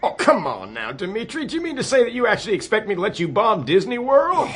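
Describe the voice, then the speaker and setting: An American President in a satirical radio drama, pitched high for a man. He is placating and flustered, stumbling politely through a hotline call, with faint noise behind him. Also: huge pitch swings, a bit bright, full character voice. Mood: anxious, exasperated. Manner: halting, polite, stammering understatement. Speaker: actor in a radio play.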